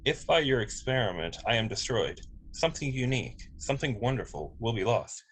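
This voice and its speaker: A man's voice: mid-range voice, big pitch swings, fairly quick, fairly bright tone. Man acting a formal android officer, noisy recording. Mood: quietly resolute. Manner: formal, precise wording. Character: polite, logical male android officer, calmly dignified. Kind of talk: science fiction script table read. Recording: clear background noise, room ambience.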